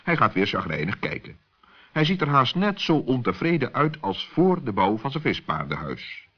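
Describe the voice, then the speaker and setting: A man narrating a children's story as an expressive storyteller. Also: mid-range voice, normal speed, breathy edge. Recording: dull, lo-fi old recording.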